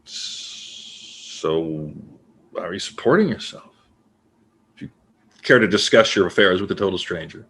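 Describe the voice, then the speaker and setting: A man acting as a nosy, teasing former literary agent in a stage play, husky-voiced, with a probing, conversational manner. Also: low-pitched, lively intonation, a little slow, pauses often, a bit bright, full character voice, poor audio. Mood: probing, needling. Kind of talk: naturalistic stage play dialogue.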